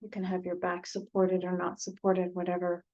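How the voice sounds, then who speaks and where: A woman leading an unscripted guided meditation as a teacher, gently, with pauses, repeated phrases and sentences that trail off. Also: fairly low pitch, completely flat delivery, lo-fi sound.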